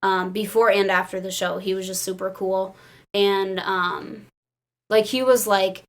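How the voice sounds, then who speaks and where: An American woman casually telling excited personal stories in an animated, giggly way, peppering her speech with "like". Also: medium pitch, a little slow. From Illinois, United States. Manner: animated, giggly, says "like" often. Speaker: podcast crew member telling fan stories.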